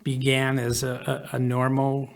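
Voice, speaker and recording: Male guest, middle-aged or older, average pitch, measured and halting, recounting personal history. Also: completely flat delivery, creaky. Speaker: podcast guest, author. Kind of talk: personal storytelling in an interview.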